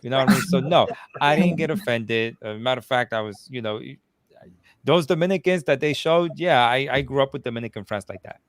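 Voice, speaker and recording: A man, a guest reviewer from New Jersey, talking in a casual panel chat, animated, with many restarts and fillers. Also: medium pitch, a little fast, no echo. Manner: animated, talks with his hands in phrases, many restarts and fillers.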